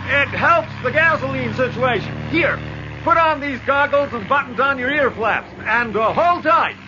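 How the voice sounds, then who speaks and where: A man's voice: very high voice, animated, moderate pace. A man playing a breezy, bold aeroplane pilot in a radio drama. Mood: cheerful. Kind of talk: BBC radio drama acting. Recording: dull, loud aeroplane engine noise.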